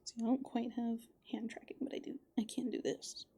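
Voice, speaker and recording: A woman chatting softly and casually in a whimsical, musing ASMR roleplay as a playful raccoon character, close to the microphone. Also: fairly high pitch, little pitch movement, fairly quick.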